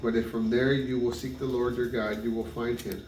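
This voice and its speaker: A man reading the Bible aloud in measured phrases, occasionally stumbling over words. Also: medium pitch, level tone, darkish tone. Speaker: scripture reader. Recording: roomy, distant mic, noisy background.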